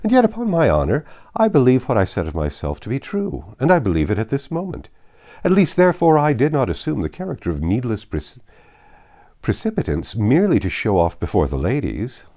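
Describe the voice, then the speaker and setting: A man voicing a cheerful, easygoing, impulsive young gentleman in an audiobook of a classic novel, with an animated, good-humoured manner. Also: mid-range voice, brisk, pitched above the performer's own voice. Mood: animated protest.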